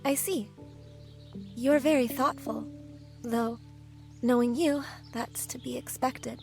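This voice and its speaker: Woman acting shy, high-voiced, hesitant young villager. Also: fairly even intonation, slow pace, creaky, soft background music. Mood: gentle. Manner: hesitant, stammering, soft-spoken. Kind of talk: fan audio drama acting.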